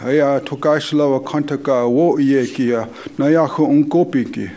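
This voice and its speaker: A man whose first language is Dakota, leading a slow, measured, solemn prayer as an elder in a conference hall. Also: medium pitch, steady tone, dark tone. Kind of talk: spoken prayer.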